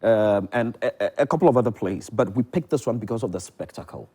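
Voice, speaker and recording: Low-voiced Ghanaian man, emphatic interview guest. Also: quick pace, warm tone, slightly rough. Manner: emphatic, repeats words and phrases for effect. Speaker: theatre director, interview guest. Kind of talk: lively promotional interview chat.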